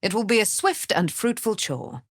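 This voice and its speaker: A woman's voice: mid-range voice, very animated, normal speed, no room sound, clean studio sound. A woman playing a proud, righteous immortal warrior in a video game, with grand, formal, declamatory delivery and archaic phrasing. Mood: proud, gracious. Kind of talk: dramatic video game voice acting.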